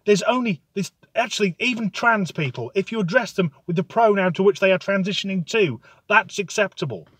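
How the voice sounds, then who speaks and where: A man delivering a sardonic, sweary comic rant in a deadpan tone. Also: sits high, brisk, hardly any pauses, slightly rough, no room sound, rough recording. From United Kingdom. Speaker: comedic ranter.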